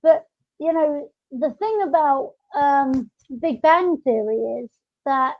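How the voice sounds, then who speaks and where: A woman's voice: high-pitched, expressive, slow pace. A woman talking in an informal, chatty way as she hosts a casual podcast. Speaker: podcast host. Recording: dull lo-fi audio.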